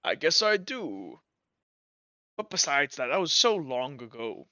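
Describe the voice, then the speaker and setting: A man voicing a modest, weary college gardener in a halting, rambling way for a tabletop game. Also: fairly high pitch, sing-song, sharp tone, no echo, lo-fi sound, exaggerated voice. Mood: modest, friendly. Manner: halting, rambling, self-effacing.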